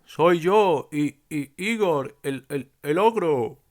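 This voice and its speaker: A man playing a timid, earnest ogre in a children's storybook, speaking in a halting, drawn-out way. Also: on the high side, lively intonation. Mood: nervous, stammering. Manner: slow, halting, drawn-out, stammering when nervous.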